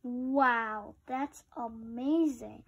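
A woman's voice: a little high, very slow, gravelly, a bit bright, breathy edge, dull audio. A woman reading a picture book aloud, adding informal, chatty, childlike asides to young listeners. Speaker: read-aloud host.

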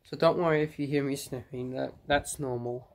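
A man's voice: mid-range voice, steady tone, moderate pace, distant mic. Young man with a stuffy, cold-blocked nose. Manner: chatty, rambling, frequent fillers. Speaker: vlogger. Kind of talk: casual unboxing vlog. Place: cluttered room indoors.

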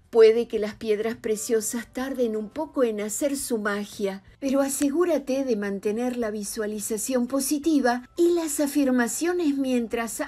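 A woman reading a scripted voice-over as a channel narrator, giving advice in a calm, even manner. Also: on the high side. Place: voice-over over stock footage. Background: soft background music.

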